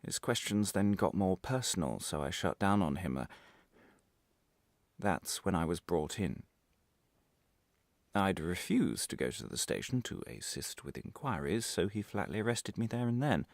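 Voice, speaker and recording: A man performing a radio monologue as a defensive, wry prisoner recounting his arrest, halting and correcting himself with sudden emphasis. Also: low voice, animated, no echo. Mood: uneasy, defensive. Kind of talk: dramatic radio monologue, confessional first-person narration.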